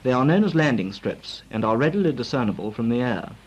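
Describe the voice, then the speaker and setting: An actor playing a formal, precise British naval flying instructor lecturing trainees in a 1940s instructional film. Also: male voice, medium pitch, sing-song, fast, husky. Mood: formal, instructive. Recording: noisy, crackly 1940s film soundtrack.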